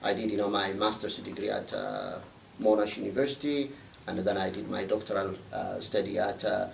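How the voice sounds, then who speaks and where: A middle-aged man from Ethiopia, whose first language is Afan Oromo, talks as an interview guest. His voice is low and gravelly, and he stops and restarts often with lots of fillers. He sounds a little off-mic in an echoey room with faint noise behind him. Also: steady tone, far from the mic. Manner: halting, many "you know" fillers and restarts. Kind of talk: casual interview chat.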